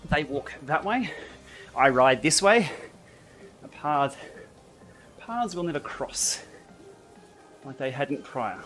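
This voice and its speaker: A man's voice: fairly high pitch, very animated, takes it slowly, pauses often, a bit bright, soft background music. A man hosting a live indoor cycling stream, chatting casually and answering viewer comments as he rides. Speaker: livestream host. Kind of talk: casual live chat while riding. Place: indoor training room.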